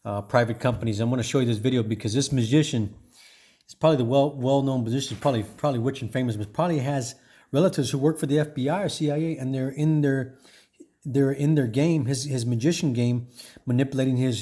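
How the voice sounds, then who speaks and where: A man's voice: mid-range voice, fairly even intonation, fast talker, mellow. A man talking casually over video clips, stopping and repeating himself with many fillers. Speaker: video commentator.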